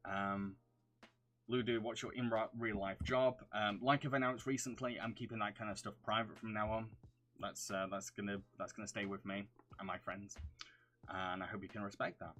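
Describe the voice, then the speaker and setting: A young man with a fairly low voice reads out his viewers' questions and answers them off the cuff. His delivery is loose and conversational, with plenty of fillers and restarts. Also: steady tone, normal speed, fairly bright tone. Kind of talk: casual live Q&A.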